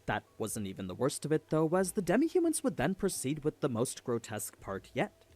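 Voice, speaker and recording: A man's voice: fairly high pitch, wildly swooping pitch, fairly quick, darkish tone. A man reading a scripted story recap as a narrator.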